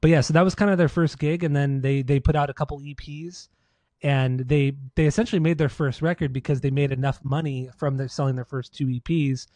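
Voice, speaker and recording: A man's voice: a little high, fairly even intonation, brisk, warm tone, dry recording. An American man co-hosting a podcast, chatting casually about music in an informal, slangy, sweary way. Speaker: podcast co-host.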